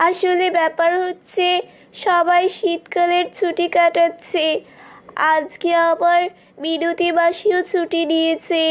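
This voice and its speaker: A woman voices a chatty young cartoon girl with a very high voice. She sounds knowing and cheeky as she explains things, in a rather even, unvaried tone. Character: young daughter, knowing and chatty, siding with father. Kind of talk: cartoon family comedy dialogue.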